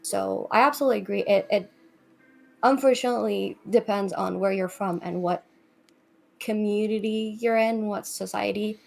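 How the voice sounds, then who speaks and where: A woman's voice: medium pitch, steady tone, moderate pace, vocal fry, a little mellow. A young woman from Lebanon speaking as a guest in a conversational discussion, earnest and thoughtful. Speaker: guest, psychology PhD student. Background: background music.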